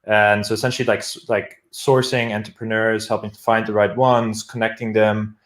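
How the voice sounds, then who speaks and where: Guest: young man with a German accent, low voice, rambling and filler-heavy, echoey room. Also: level tone, normal speed. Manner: rambling, many fillers and restarts. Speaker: guest product manager. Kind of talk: casual interview chat.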